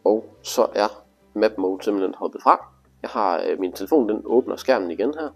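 A man's voice: on the low side, breathy edge, soft background music. A man giving a casual, hands-on tutorial, explaining things informally step by step. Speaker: instructor demonstrating motorcycle display.

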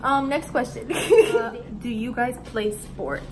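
Teen girl, sixteen, from Arizona, higher voice, giggly Q&A host, noisy home audio. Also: slow pace. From Arizona, USA. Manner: relaxed, giggly, reads out questions. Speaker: co-host of a YouTube channel. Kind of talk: casual Q&A chat with a friend. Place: living room at home. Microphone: camera in front of two seated girls.

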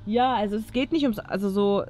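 A woman's voice: medium pitch, brisk, mellow. A young woman chatting casually as a video host, recorded outdoors on a camera. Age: young adult. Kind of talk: casual challenge vlog chat. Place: outdoors by an open car boot in a parking lot. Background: background music.

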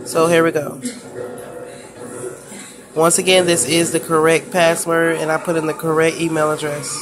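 Flat-voiced woman asking for phone help. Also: low voice, normal speed, music in the background. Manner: flat, frustrated help request. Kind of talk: casual phone screen recording. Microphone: phone camera filming a handheld screen.

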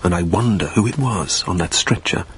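A man playing a weary dead man who narrates his own haunting in a reflective, measured, lyrical manner in an audio drama. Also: low-pitched, a little hiss or hum. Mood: melancholy, reflective.

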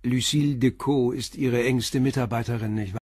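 An actor playing a calm, probing police inspector in a radio play, questioning a suspect in a measured, polite way with a husky voice. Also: male voice, medium pitch, no echo, clean studio sound, close to an everyday voice. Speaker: detective.

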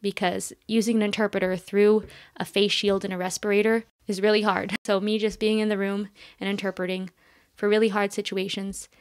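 A young woman from the United States, a podcast guest, telling animated stories with frequent laughs in casual conversation. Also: medium pitch, a little fast, no room sound, studio quality.

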